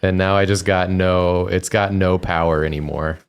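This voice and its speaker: A man's voice: deep voice, fairly even intonation, moderate pace, clear, resonant tone. A tired man co-hosting a gaming stream, chatting casually and joking with lots of restarts.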